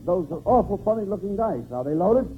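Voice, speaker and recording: A man's voice: high-pitched, animated, fairly quick, slightly rough. Fussy, scolding radio straight man. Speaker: comedian. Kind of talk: 1940s radio comedy. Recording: tinny, hissy old radio broadcast.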